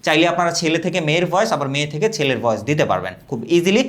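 Fast-talking young man pitching gear. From Bangladesh. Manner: rapid, energetic sales pitch. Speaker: product reviewer. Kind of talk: scripted product review.

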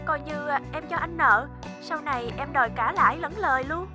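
A woman voicing a gentle, sincere and sensitive young sweetheart in a cartoon. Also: high-pitched, brisk, over music, close to an everyday voice. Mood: soft, sincere. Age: young adult. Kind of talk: cartoon voice acting.

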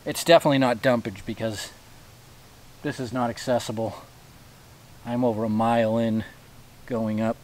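A man's voice: medium pitch, steady tone, normal speed, pauses often, a little mellow. A man in his forties thinking aloud with curiosity as he hikes through a forest, talking to a body-worn camera outdoors. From New England. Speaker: hiking vlogger. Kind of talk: casual walking narration to camera. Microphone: body-worn action camera.

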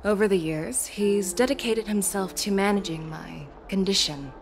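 A woman playing a composed, formal fox-eared general in a video game, speaking in a measured, deliberate way. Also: mid-range voice, gravelly, over music, lightly acted. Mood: composed, reflective.

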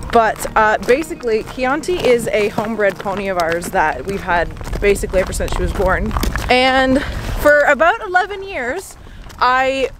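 A woman's voice: a little high, noisy background. A woman in her twenties with a Canadian accent, chatting casually to camera with loose, hesitant phrasing. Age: 20s. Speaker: vlogger and horse rider. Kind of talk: casual vlog chat to camera. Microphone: camera held at arm's length or set on dashboard.

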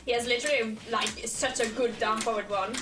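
A young woman doing casual live gameplay commentary as a streamer, with an animated, giggly manner. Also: a little high, fairly quick. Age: young adult. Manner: animated, giggly, frequent swearing. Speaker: game streamer. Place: indoor room, seated in gaming chair. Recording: roomy, distant microphone.

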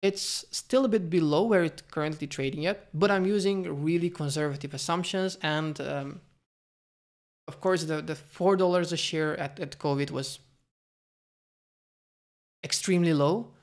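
A man's voice: on the high side, normal speed. A young man hosting a finance channel, talking through a stock valuation over slides and thinking aloud with fillers, restarts and careful hedging. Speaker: finance video host.

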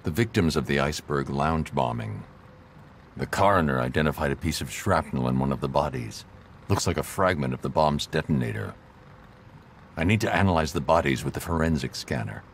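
An actor playing a grim, stoic vigilante detective in a video game, terse and analytical. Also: male voice, extremely low pitch, animated, fairly quick, a little hiss or hum.

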